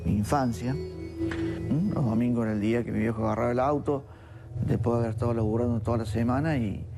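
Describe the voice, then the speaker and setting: A man in his sixties with a Córdoba Argentine accent, recalling his childhood in an interview with halting repetitions. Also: mid-range voice, steady tone. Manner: halting, repeating words as he recalls. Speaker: interviewee, engineer. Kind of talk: reflective interview. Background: music.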